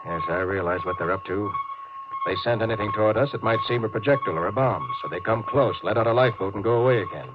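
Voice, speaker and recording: An actor playing a suspicious, domineering spaceship captain in a 1950s radio drama, sardonic and commanding. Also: male voice, on the low side, lively intonation, fast talker, over loud music, lightly acted. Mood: dry, sardonic. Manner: sardonic, domineering, clipped orders. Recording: noisy, dull 1950s radio recording.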